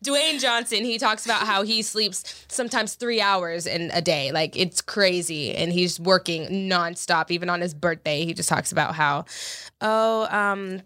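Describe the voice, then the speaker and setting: A woman's voice: fairly low pitch, measured pace, sharp tone, dry recording, clean studio sound. A woman co-hosting a casual chat podcast, stuffy and congested from allergies, rambling with lots of fillers. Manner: chatty, rambling with many fillers.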